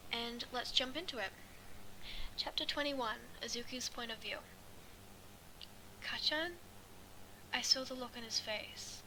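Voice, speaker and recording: A woman reading a story aloud as an amateur, halting and stumbling over her words. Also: on the high side. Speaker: fan fiction reader. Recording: clearly noisy amateur recording.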